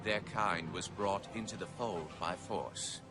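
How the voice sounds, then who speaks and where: Game cutscene chronicler, male alien: grave, measured, stately delivery over a loud score. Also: deep voice. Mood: grave, ominous. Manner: formal, measured, grandiose. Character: solemn male alien chronicler of a zealous empire's history. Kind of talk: grave scripted narration in a video game cutscene. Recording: loud music under the voice. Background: loud cinematic music.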